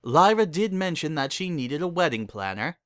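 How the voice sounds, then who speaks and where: A narrator voicing a refined, dramatic, motherly female cartoon unicorn with a genteel, affected, drawn-out delivery. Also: mid-range voice, sing-song, dry recording, studio quality, pitched above the performer's own voice, natural voice. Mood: placating.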